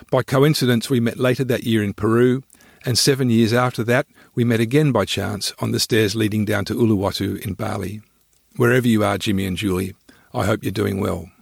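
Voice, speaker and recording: A man reading his own scripted first-person travel memoir in a measured storytelling way, close to the microphone. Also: no echo. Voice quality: low, husky, creaky.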